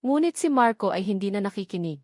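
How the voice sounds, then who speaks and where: A woman's voice: medium pitch, lively intonation, fast talker, a little mellow, no room sound, studio quality. A woman reading a scripted story as a dramatic narrator.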